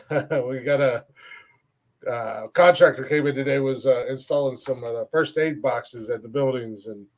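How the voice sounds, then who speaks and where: A young man hosting a casual comedy podcast, loud and joking, swearing often and laughing a lot. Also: medium pitch, unhurried. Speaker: podcast host.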